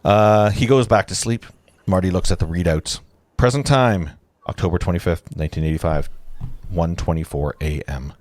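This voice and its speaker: A man's voice: low-pitched, normal speed, darkish tone, no room sound. A man narrating stage directions at a live table read, informally, breaking off now and then to comment. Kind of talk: casual live script read-through.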